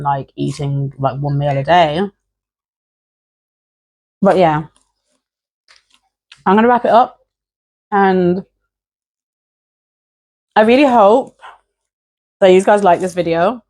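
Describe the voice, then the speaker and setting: A woman's voice: lowish voice, a little mellow. A woman vlogger talking casually and informally to her viewers, hesitant and peppering her sentences with filler words. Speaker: YouTube creator and host. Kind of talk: casual chatty vlog to camera.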